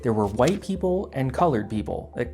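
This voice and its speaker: A man's voice: mid-range voice, sing-song, normal speed, a little mellow, soft background music. A man narrating a scripted video essay with sarcastic, wry asides.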